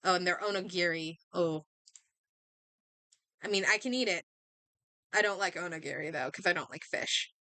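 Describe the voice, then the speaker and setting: A woman streamer chatting casually and thinking aloud in an expressive way as she comments on a game. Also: lowish voice, normal speed, pauses often, dry recording.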